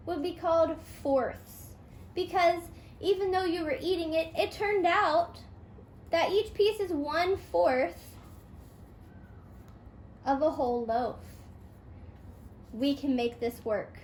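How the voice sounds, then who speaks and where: A woman's voice: very high voice, expressive, slow, long pauses, darkish tone. A woman teaching a playful fairytale math lesson step by step, encouraging her pupil with sing-song questions and repeated answers. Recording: roomy echo, faint background noise.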